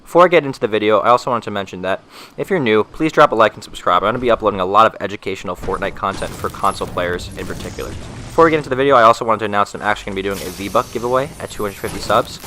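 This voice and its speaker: A man's voice: fairly low pitch, very fast, bright, forceful tone, a little hiss or hum. A young man with a stuffy nose from a cold, talking loosely through a gaming tutorial voice-over with many fillers and restarts. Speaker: gaming YouTuber.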